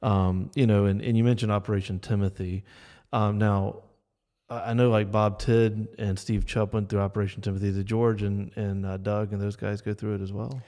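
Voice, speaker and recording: A man's voice: on the low side, level tone, moderate pace, mellow. A man conducting a conversational interview, affirming and somewhat hesitant as he rephrases his questions. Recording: long reverberant hall echo.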